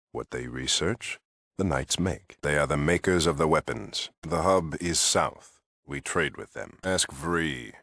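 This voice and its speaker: Stern military paladin, very low gravelly voice, creaky, curt, commanding, video game. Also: male voice, a bit bright, no room sound, full character voice. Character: stern, curt military-order head paladin, short-tempered. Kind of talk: video game character acting.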